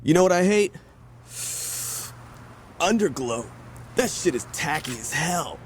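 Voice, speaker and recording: A man's voice: on the high side, lively intonation, measured pace, slight background noise. An actor playing a flaky, eager young male gang mechanic in a video game, with loose, slangy talk. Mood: chatty. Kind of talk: video game cutscene acting.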